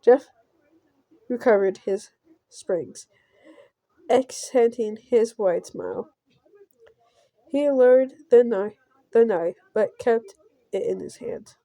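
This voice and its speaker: High-voiced woman reading a visual novel. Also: dark tone, no room sound. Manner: playful, halting reading with stumbles and giggly asides. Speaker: gameplay streamer. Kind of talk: reading a visual novel aloud with casual reactions.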